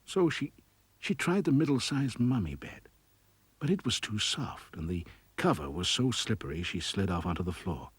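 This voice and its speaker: A man narrating scripted fairy tales for children in an expressive, dramatic way. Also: lowish voice, normal speed, slightly rough, no echo. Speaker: storyteller, narrator.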